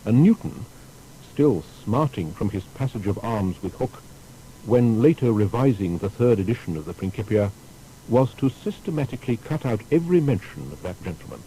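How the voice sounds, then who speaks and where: A man narrating a scripted historical documentary in a formal storytelling manner with deliberate emphasis. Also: expressive, mellow, rough recording. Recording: faint background noise with rumble.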